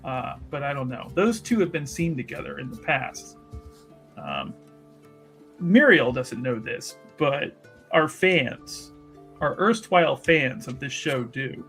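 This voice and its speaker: A man's voice: mid-range voice, very animated, slow, gravelly, a bit bright, faint music behind. A man casually narrating his character's moves in a tabletop roleplaying game, with wry banter.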